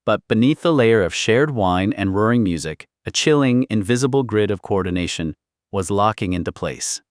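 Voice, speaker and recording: A man reading dramatic historical fiction as an audiobook narrator, in a solemn, measured and portentous delivery. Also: lowish voice, expressive, no room sound, clean studio sound.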